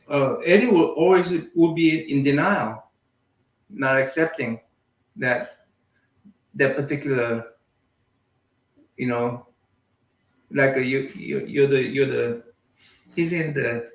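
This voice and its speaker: A middle-aged man in a casual panel discussion, speaking haltingly and repeating words as he forms his thoughts. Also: medium pitch. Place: indoor room at home, video call. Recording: echoey room on video call.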